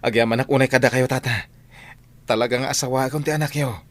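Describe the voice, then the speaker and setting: A man's voice: mid-range voice, fairly even intonation, fast talker, no echo, lightly acted. A man playing a polite, earnest young suitor in a comedy radio drama, speaking respectfully. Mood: earnest, pleading.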